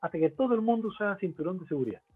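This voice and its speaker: Chilean man, lively, on a tinny call line. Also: high-pitched, very fast, husky. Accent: Chilean Spanish. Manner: explanatory, lively, lists points with rhetorical questions. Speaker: guest expert. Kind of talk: webinar interview. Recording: tinny phone-like line, faint music.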